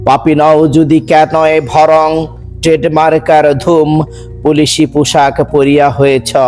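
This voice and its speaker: A man reciting a poem in a measured, rhythmic, declamatory way. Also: fairly high pitch, darkish tone. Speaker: poetry reciter. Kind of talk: dramatic recitation of a Bengali poem.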